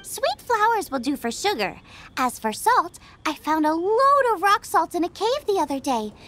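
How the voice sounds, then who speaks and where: Cheerful excitable girl chef for a game, super high voice, really animated. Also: moderate pace, a little hiss or hum, full character voice. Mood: cheerful, confident. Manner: excitable, breezy, eager. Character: cheerful, food-obsessed young girl chef on a hunt. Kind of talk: scripted game dialogue.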